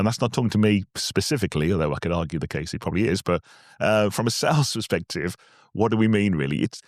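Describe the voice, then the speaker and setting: A man hosting a business podcast, chatty and a little self-deprecating, his voice slightly croaky from a cold. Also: low voice, no echo, clean studio sound. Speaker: podcast host. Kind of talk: casual podcast chat.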